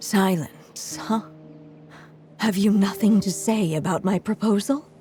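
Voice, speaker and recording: A woman's voice: medium pitch, measured pace, warm tone, faint music behind, natural voice. A woman playing a grave, dignified alchemist in a theatrical game scene, speaking formally and eloquently. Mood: wistful, reflective. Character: grave, dignified female alchemist and mage, wistfully resolute.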